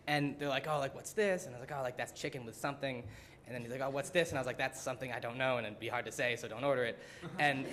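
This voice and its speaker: A man's voice: medium pitch, background noise. A young man, a student presenter, telling humorous stories at a symposium in a casual way, full of "like" fillers. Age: young adult. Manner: casual, many "like" fillers, laughing. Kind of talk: humorous storytelling talk. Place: college symposium room.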